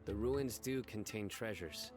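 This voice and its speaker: A man voices a stern, guarded investigator from a video game. His low voice is grave, measured and formal. Music plays clearly underneath. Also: natural voice. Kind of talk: video game character acting. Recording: background music over the voice.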